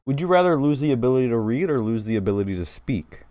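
A man's voice: mid-range voice, animated, fast talker, warm tone, no room sound. A young man hosting a casual chat, reading out would-you-rather questions and joking with friends. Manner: joking, teasing, laughs often.

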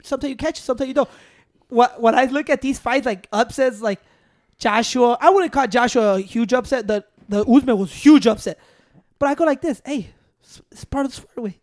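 A man chatting as a podcast co-host, telling stories in a relaxed, profane way with Spanish words mixed in. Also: very high voice, brisk, dry recording, clean studio sound. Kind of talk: casual chat.

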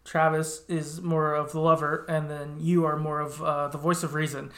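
A man's voice: on the high side, flat delivery, normal speed, fairly bright tone. A man hosting a podcast in casual, agreeable, easygoing conversation. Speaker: podcast host. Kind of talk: casual chat. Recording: roomy, echoey sound.

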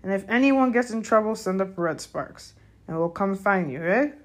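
A woman voicing a big, gruff male gamekeeper with rustic, commanding speech for a storybook reading. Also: mid-range voice, expressive, normal speed, voice pushed up, lightly acted. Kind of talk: audiobook character voice.

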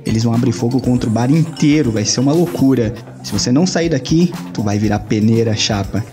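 A man's voice: mid-range voice, brisk, close to an everyday voice. A man acting in an audio drama as a jaded, slangy hacker loner in a cyberpunk city. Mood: cool, urgent. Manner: brooding, slangy. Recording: music behind the voice.

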